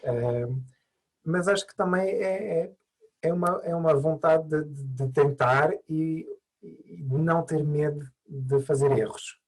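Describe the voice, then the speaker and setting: A man's voice: fairly high pitch, a little slow. A man who learned Portuguese as an adult, by birth, answering interview questions thoughtfully with many hesitations and restarts. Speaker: mediator, interview guest.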